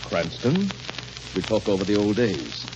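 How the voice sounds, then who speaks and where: Low-voiced, sinister courtly tyrant. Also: male voice, wildly swooping pitch. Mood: imperious, smug. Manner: formal, measured, condescending. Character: sinister, controlling, courtly rich recluse ruling a valley. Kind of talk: 1930s radio drama acting. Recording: noisy, dull 1930s radio recording.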